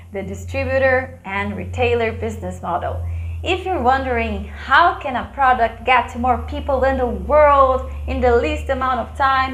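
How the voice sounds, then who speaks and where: Woman with a Brazilian accent, bright-pitched explainer voice, chatty asides, roomy echo; for a lesson read. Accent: Brazilian Portuguese. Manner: playful, chatty asides to the viewer. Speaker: business educator.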